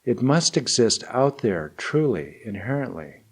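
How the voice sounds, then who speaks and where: A man teaching and guiding meditation in deliberate, measured phrases with long pauses. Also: medium pitch, sing-song, slightly rough. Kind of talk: guided meditation and spoken teaching.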